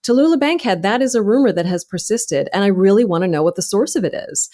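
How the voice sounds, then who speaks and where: A woman speaking as an interview guest, answering in a measured, precise and careful way. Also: medium pitch, animated, warm tone, dry recording, studio quality. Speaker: interview guest, author and actor. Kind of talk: interview conversation.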